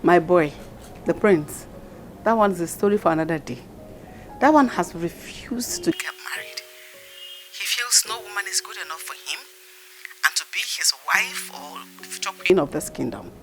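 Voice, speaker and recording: An actress as an exasperated middle-aged Nigerian queen in a film drama. Her voice is fairly low but swings widely in pitch, with music playing behind her. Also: expressive, normal speed, close to an everyday voice. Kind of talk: Nollywood film acting.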